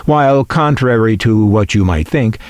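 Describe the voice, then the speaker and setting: Slow talking guy explaining collectibles, kinda wry, lots of up and down in his voice, really dry sound. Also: lowish voice, lively intonation, a little mellow, dry recording. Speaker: collector presenting a vintage radio. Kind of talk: measured explanatory narration.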